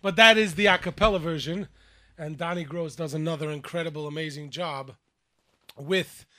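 A man hosting a radio show from a studio, talking excitedly with lots of fillers and restarts. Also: a little high, normal speed. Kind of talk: lively radio chat.

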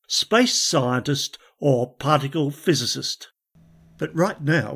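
A man's voice: fairly high pitch, breathy edge. Upbeat man hosting a science interview. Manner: enthusiastic, upbeat introductions. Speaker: podcast host. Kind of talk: scripted show intro and interview questions.

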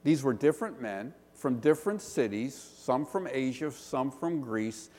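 A pastor from the Pittsburgh area with a Western Pennsylvania accent, preaching earnestly, asking rhetorical questions and stressing slow lists. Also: male voice, mid-range voice, lively intonation, darkish tone. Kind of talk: sermon. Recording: long reverb of a large hall.

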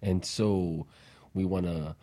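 A man's voice: deep voice, steady tone, slow pace, dark tone. A man co-hosting a radio talk show in a casual, conversational style.

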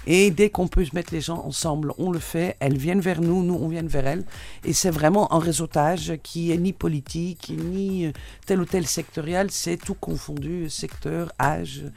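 A woman's voice: on the low side, normal speed, gravelly, darkish tone. A woman from the Netherlands with a Dutch accent, explaining steadily with frequent hesitations as a guest in a radio studio interview.

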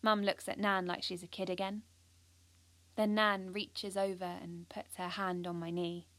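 A woman's voice: fairly low pitch, level tone, unhurried, a bit bright, no room sound. A woman playing an earnest, driven and anxious schoolgirl who narrates an audio drama in an intense, precise way. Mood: wry, uneasy. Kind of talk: first-person audio drama monologue.

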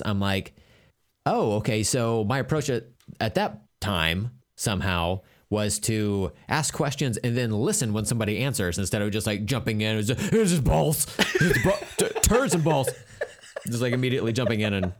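Rambling self-mocking man with a lively voice. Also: mid-range voice. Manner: self-deprecating, rambling, jokey. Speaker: podcast co-host. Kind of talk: casual chat.

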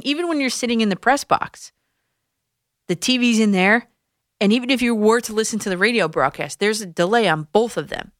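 A woman's voice: medium pitch, fairly bright tone, dry recording, clean studio sound. A woman hosting a sports talk radio show, explaining her points conversationally with occasional restarts. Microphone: studio microphone.

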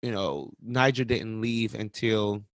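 A man co-hosting a podcast in casual, playful and expressive conversation. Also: fairly low pitch, slow, no room sound. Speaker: podcast co-host.

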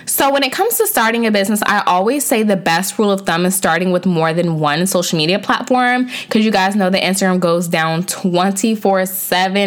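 Upbeat young woman podcast host, a bit stuffy. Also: medium pitch. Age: young adult. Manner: upbeat, addresses listeners directly with slang. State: stuffy from a cold, sore throat. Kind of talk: solo podcast talk.

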